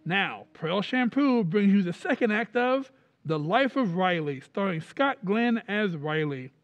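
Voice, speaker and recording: High-pitched 1940s radio announcer , a man acting. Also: lively intonation, a little slow, dull audio. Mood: enthusiastic. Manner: polished, enthusiastic salesmanship.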